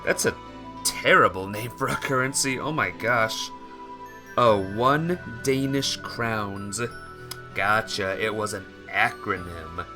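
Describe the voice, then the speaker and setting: A man's voice: fairly low pitch, expressive, takes it slowly, over music. A man streaming a visual novel, switching between casual chat with viewers and reading the story aloud. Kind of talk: casual livestream chat mixed with expressive read-aloud narration.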